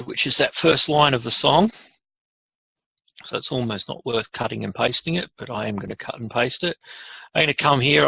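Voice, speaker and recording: Man, creaky voice, sharp bright tone, informal webinar presenter, step-by-step tutorial style, thinking aloud. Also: medium pitch, no echo, rough recording. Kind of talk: casual software tutorial walkthrough.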